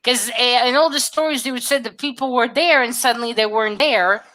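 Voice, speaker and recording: Female co-host, crisp forward bright tone, slightly high pitch, emphatic storyteller in informal book talk. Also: brisk. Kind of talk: casual book discussion.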